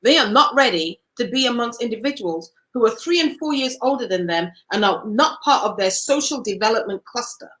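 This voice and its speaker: Woman from Cameroon, interview guest, earnest and reflective, pitched a little high. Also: lively intonation, brisk, few pauses, a bit bright, rough recording.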